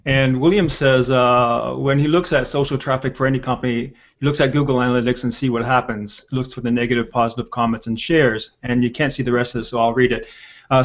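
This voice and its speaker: Male host for an online panel, medium pitch, casual moderating style, muffled lo-fi call sound. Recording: dull lo-fi video call audio.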